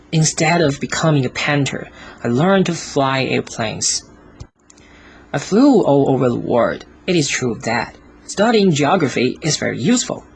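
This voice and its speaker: A man reads a storybook as scripted audiobook narration, in short, careful, deliberate phrases. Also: mid-range voice, a little hiss or hum. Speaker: audiobook reader.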